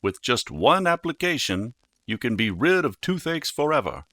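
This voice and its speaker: A small, dutiful storybook mouse dentist played by a man, lifted far above a deep natural voice. He sounds earnest and firm, with lively rises and falls and crisp, authoritative phrasing. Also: normal speed, no room sound. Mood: formal, persuasive.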